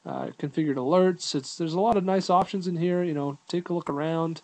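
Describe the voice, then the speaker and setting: Man with a higher-pitched voice, casual tutorial. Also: a little fast, a little mellow, slight background noise. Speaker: app reviewer giving a tutorial. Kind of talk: casual unscripted screen walkthrough.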